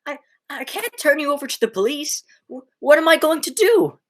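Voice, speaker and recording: A teenage woman playing an agitated, horrified young man who stammers and exclaims in a high school radio play. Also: big pitch swings, moderate pace. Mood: horrified, frantic.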